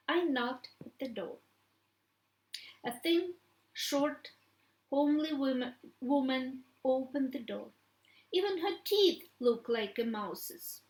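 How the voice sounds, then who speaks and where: Very slow woman, echoey. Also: high voice, darkish tone. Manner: halting, stumbling over words. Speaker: author reading own book. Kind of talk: first-person story reading. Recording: echoey room.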